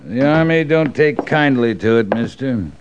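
An actor playing a hard, bitter middle-aged cavalry officer in a radio Western, with a clipped, restrained way of talking. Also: male voice, mid-range voice, animated, moderate pace, background noise, close to an everyday voice. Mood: stern, businesslike. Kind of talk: old-time Western radio drama acting. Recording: dull, band-limited old radio recording.

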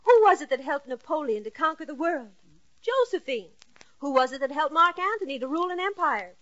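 Doting young girlfriend, high and coy, vintage radio comedy, dull sound. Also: lively intonation, a little fast, a bit bright. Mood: encouraging. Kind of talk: 1940s radio comedy acting. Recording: muffled old radio recording.

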